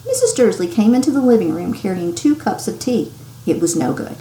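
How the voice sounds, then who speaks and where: A woman teacher reading a story aloud to children in an expressive, animated way with dramatic emphasis. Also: mid-range voice, brisk. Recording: echoey room with clear background noise.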